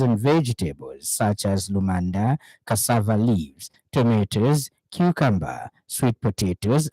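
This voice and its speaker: A man reading a scripted radio news bulletin in a steady, formal manner from a studio. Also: medium pitch, no echo, low-quality recording. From Zambia. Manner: steady formal newsreading, lists read item by item. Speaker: news anchor.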